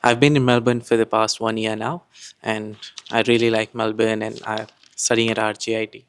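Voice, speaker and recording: A man's voice: fairly low pitch, flat delivery, moderate pace, a little hiss or hum. A man from Fiji with a Fijian accent, a student giving casual answers in an interview.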